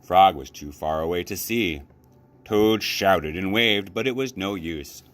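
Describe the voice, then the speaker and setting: A man reading a children's storybook aloud as a library storyteller, with expressive, deliberate phrasing. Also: low-pitched.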